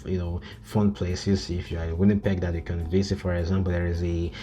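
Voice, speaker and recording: Low-voiced man presenting an informal list video. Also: level tone, warm tone. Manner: frequent "you know" filler, halting. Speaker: YouTube presenter.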